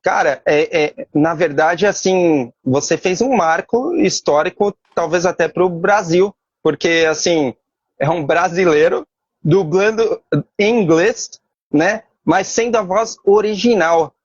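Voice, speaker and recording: A man's voice: high-pitched, slow pace, no room sound, muffled recording. A man hosting an interview, asking his guest questions hesitantly, searching for words. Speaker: interview host.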